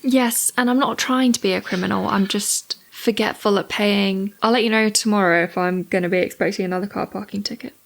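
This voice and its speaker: British woman, medium pitch, casual podcast co-host, informal, agreeable, conversational. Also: fairly even intonation, a little fast, gravelly, hardly any pauses.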